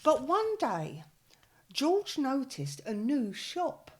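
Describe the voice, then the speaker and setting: Older woman, English accent, storyteller, slow, expressive, slightly high. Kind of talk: reading a picture book aloud.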